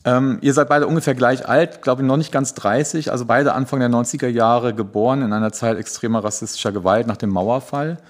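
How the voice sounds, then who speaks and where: A man's voice: medium pitch, brisk, hardly any pauses. A man hosting a podcast interview, introducing guests with many hesitant fillers. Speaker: podcast host.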